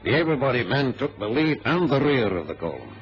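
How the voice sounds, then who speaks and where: An actor playing a sensible, loyal adventurer and narrator in an old radio drama, speaking in a measured, old-fashioned way. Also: male voice, medium pitch, sing-song, soft background music, close to an everyday voice. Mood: grave narrating. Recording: muffled, noisy vintage radio recording.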